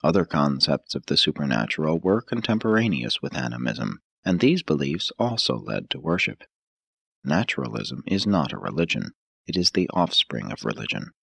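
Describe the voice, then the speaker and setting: A man narrating an audiobook from a script in a measured, formal, solemn manner. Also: extremely low pitch, very animated, gravelly, a bit bright, husky, no echo.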